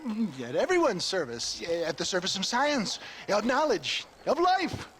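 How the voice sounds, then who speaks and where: A man's voice: sits high, huge pitch swings, unhurried, fairly bright tone, slight background noise, exaggerated voice. An actor voicing a pompous, wheedling old snake-oil salesman, in florid, theatrical video game style. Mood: grandiose. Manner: florid, grandiose, hesitant showman's patter.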